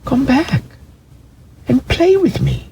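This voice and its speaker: A man's voice: extremely high pitch, very animated, takes it slowly, mellow, slight background noise, pitched above the performer's own voice, full character voice. A man voicing a small, wistful, defensive male velveteen toy rabbit in a dramatic storybook reading, plaintive and insistent. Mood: pleading.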